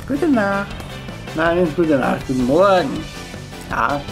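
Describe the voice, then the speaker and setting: A young man giving a playful, halting video diary into a camcorder, repeating himself. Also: on the high side, big pitch swings, gravelly. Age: young adult. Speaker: vlogger. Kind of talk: joking morning video diary. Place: indoor room. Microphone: camcorder at arm's length. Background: music.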